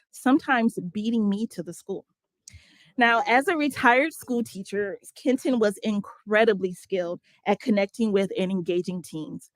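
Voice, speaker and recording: A woman presenting an award at a gala, reading a measured, formal tribute to a volunteer. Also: mid-range voice, no echo. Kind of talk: prepared award presentation speech.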